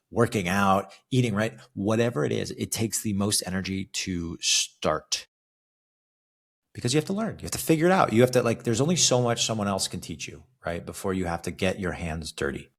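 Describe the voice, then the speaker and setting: Man, deep voice, fitness coach, solo podcast monologue, casual, keeps asking "right?". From California. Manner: conversational, ends many phrases with a questioning "right?".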